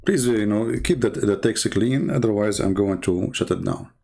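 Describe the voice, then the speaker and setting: A man's voice: on the low side, moderate pace, gravelly, mellow. A man whose first language is Arabic, hosting a live stream and mocking the clips he plays with sarcastic questions. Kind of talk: casual commentary on played clips.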